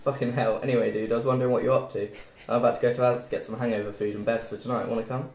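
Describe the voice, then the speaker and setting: Guy reading cocky sweary young student lad, talks really fast, roomy recording with a bit of noise. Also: mid-range voice, flat delivery, darkish tone, close to an everyday voice. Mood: irritated, pushy. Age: young adult. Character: brash, foul-mouthed, teasing male student. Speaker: student cast member at a table read. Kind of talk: script read-through.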